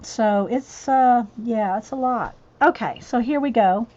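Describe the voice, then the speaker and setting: A chatty woman with a drawl colors and talks at once, her voice sitting a little high and wandering off into asides to her viewers. The sound is dull and lo-fi, like a cheap livestream mic. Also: unhurried, a little mellow. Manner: chatty, rambling, reads chat messages aloud and answers viewers. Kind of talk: casual chat while coloring on a livestream.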